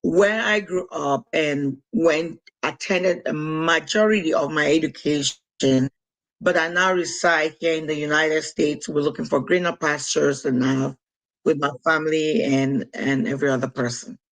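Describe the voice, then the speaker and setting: A woman's voice: deep voice, steady tone, measured pace. A woman from Cameroon with a Cameroonian accent, a guest on a podcast, talking earnestly and reflectively with small hesitations. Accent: Cameroonian English. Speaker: podcast guest, nurse and author. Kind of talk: casual conversational interview.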